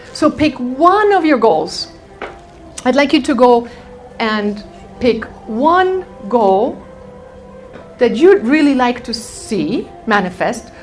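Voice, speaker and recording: A woman teaching a motivational seminar, telling stories and addressing her listeners directly as she guides them. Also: a little high. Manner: animated storytelling, addressing the audience directly. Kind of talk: motivational workshop talk with anecdotes and guided exercise.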